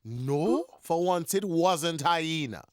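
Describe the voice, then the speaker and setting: A man's voice: on the high side, lively intonation, slow, dry recording, clean studio sound. A man voicing an eager, deferential animal subject of a king in a children's cartoon. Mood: deferential, scheming. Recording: music underneath.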